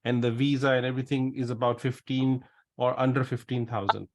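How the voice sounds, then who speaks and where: A man is hosting an informal live interview at a middling pitch. He asks questions and recaps the answers. He often doubles up words and phrases when agreeing. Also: flat delivery, a little fast. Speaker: interview host.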